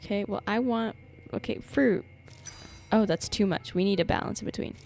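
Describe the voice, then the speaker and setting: A woman's voice: medium pitch, normal speed, creaky, darkish tone. A young woman livestreaming a game, commenting casually and playfully, exclaiming often and reading dialogue aloud. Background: game music.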